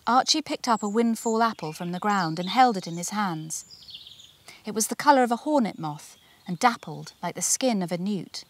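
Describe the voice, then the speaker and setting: A woman reading her own children's storybook aloud in an expressive, deliberate storyteller's manner with dramatic emphasis. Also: medium pitch, a little hiss or hum.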